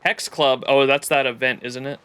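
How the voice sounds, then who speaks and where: Bright-voiced, excitable male game streamer. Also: mid-range voice, normal speed, clean tone. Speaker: livestreamer. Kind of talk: casual game stream commentary. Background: game music.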